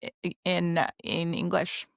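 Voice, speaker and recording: A woman in her thirties co-hosting a podcast with a low, gravelly voice. She chats casually and wryly, laughing often, recorded dry on a close microphone. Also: little pitch movement, a little slow, no echo. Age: 30s. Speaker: podcast co-host. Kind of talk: casual chat.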